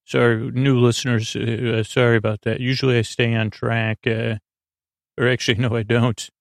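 A man with a creaky voice hosting a sleep podcast, giving a soothing, halting bedtime monologue that keeps meandering off into digressions. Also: medium pitch, level tone, no room sound, clean studio sound. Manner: lulling, soothing. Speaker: podcast host. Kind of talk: rambling bedtime monologue to put listeners to sleep.

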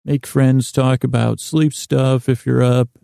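Middle-aged guy doing a sleepy bedtime podcast, super creaky voice, flat pitch, halting and wandering off on tangents. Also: dry recording, studio quality. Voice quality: gravelly, creaky. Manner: meandering, halting, trailing off into tangents. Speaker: podcast host.

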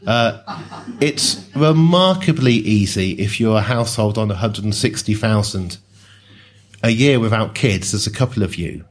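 A man with a fairly low voice, talking through ideas in a loose, conversational way. He drops in wry asides as he goes. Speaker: speaker on social class. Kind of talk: discussion talk.

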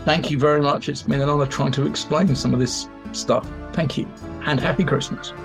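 A man speaking as an expert guest, explaining informally in an animated way and slipping into acted-out examples. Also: on the high side, fairly quick, vocal fry, over loud music. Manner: animated, acts out imagined quotes. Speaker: expert guest, theatre historian. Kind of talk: informal explanatory interview talk.

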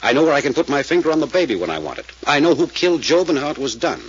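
Fast, husky hard-driving detective. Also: male voice, on the high side, big pitch swings. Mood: forceful, ominous. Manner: clipped, insistent, authoritative. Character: commanding, shrewd male detective, relentless interrogator. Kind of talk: 1940s radio drama acting. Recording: muffled old radio recording.

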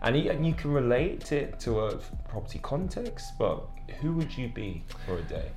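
A man hosting a casual podcast interview, encouraging his guest with frequent quick affirmations. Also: mid-range voice, very animated, a little mellow, soft background music. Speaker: podcast host.

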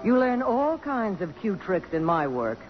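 An American actress playing a principled, defiant woman journalist with a crisp, cutting delivery in a 1950s radio drama. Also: mid-range voice, big pitch swings, a little slow, soft background music. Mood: cold, cutting. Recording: dull, noisy old radio broadcast recording.

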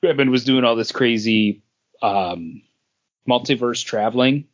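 A man co-hosting a podcast in casual comic book chat, halting as he searches for words, on a dull recording. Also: mid-range voice. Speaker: podcast co-host.

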